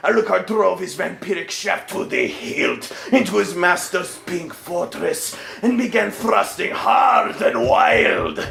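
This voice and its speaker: A man's voice: sits high, vocal fry, a bit bright, reverberant. A man reading a story aloud as a theatrical comic performance. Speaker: storyteller in a comedy troupe.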